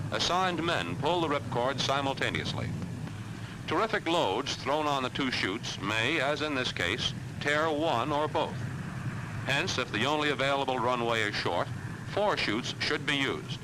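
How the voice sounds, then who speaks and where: Male American narrator, 1940s military training film. Medium pitch, assured, clipped, instructional read. Noisy vintage soundtrack.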